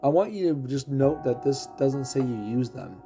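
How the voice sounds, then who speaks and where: A man's voice: mid-range voice, expressive, moderate pace, over music. Man explaining game abilities, losing his voice. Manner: explanatory, walks through ability text. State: losing his voice, clearing throat. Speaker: game streamer. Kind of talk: casual game commentary.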